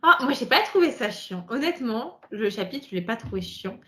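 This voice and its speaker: A woman's voice: fairly high pitch, very animated, normal speed, fairly bright tone, a little hiss or hum. A woman whose first language is not French, co-hosting a podcast in casual chat, talking freely and laughing often. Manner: chatty, laughs often, wraps up the show. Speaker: podcast co-host.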